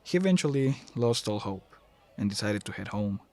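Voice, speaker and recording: A man with a fairly low voice delivers scripted story narration for an audio drama. He reads as a narrator, telling the tale in a dramatic storytelling style. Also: expressive, moderate pace, a little mellow.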